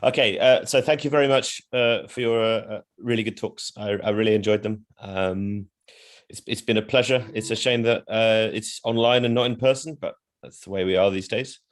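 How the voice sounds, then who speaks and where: A man's voice: on the low side, normal speed. Hesitant man on a video call. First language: not Indonesian. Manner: hesitant, apologetic, many fillers and self-conscious laughs. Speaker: co-founder presenting certificates. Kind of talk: informal webinar remarks over video call.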